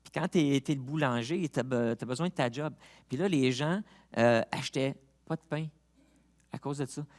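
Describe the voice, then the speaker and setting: A man with a Québécois accent, a pastor preaching a sermon who moves from quiet reflection to loud, rising appeals, with chatty personal asides. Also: mid-range voice, normal speed. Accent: Québécois French. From Quebec. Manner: chatty asides with fillers and laughs.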